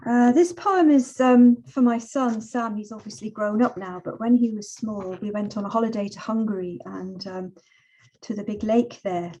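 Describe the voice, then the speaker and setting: A middle-aged woman with a mellow, unforced voice at an average pitch for a woman. She speaks reflectively and with measured phrasing, as a poet and teacher sharing personal words and verse. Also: poor audio. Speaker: poet and teacher, invited guest. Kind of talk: relaxed personal talk and poetry reading.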